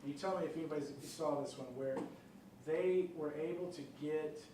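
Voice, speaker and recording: A man's voice: a little high, normal speed, dark tone. Man addressing jury panel, conversational, lots of fillers; big echoey courtroom, mic far off. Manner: conversational, many fillers and check-ins like "okay?". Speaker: prosecutor questioning jury panel. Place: large courtroom. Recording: echoey courtroom picked up from far off, light noise.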